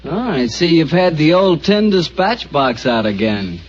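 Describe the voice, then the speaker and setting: A man announcing a 1940s radio show and reading its sponsor's ad in a smooth, lively salesman delivery. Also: a little high, unhurried, slight background noise. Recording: dull 1940s radio recording.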